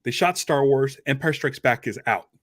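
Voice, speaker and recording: A man's voice: mid-range voice, moderate pace, a bit bright. A man chatting informally as a podcast panelist, talking in a loose, conversational way. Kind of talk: casual chat about a radio drama.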